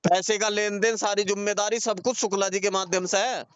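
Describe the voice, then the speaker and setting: A man's voice: high-pitched, flat delivery, fairly quick, fairly bright tone, smooth, no echo. A man arguing heatedly on a recorded phone call, insistent, accusing and repeating his points in colloquial speech. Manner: confrontational, insistent, accusing, repetitive.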